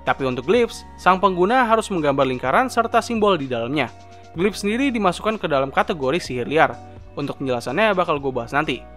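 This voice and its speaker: A young man narrates an explainer in a high-set, very lively voice. He moves along quickly and keeps the tone informal and chatty, like a host talking to viewers. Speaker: video essay host. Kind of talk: scripted explainer narration with casual asides. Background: background music.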